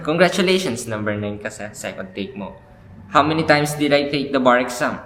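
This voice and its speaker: A man's voice: mid-range voice, expressive, normal speed, fairly bright tone. A man acting a cocky law graduate who teases his rival, switching between Tagalog and English. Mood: boastful. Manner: boastful, teasing, mixing Tagalog and English. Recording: echoey room.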